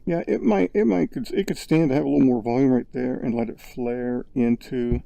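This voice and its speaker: A man narrating his VR sculpting session in a relaxed, rambling way, thinking aloud with lots of fillers, recorded on a headset's built-in mic. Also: mid-range voice, moderate pace, gravelly, no echo. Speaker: VR sculpting creator. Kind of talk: casual tutorial narration.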